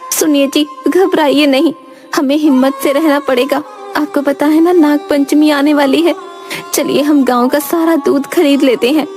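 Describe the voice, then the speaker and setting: A woman's voice: high voice, fairly even intonation, moderate pace, pitched above the performer's own voice, lightly acted. A reassuring village wife acted by a female storyteller in a cartoon folk tale. The voice is raised well above normal talk, brave and urging. Faint music sits behind it. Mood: reassuring, urgent. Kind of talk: Hindi animated fairy tale dialogue.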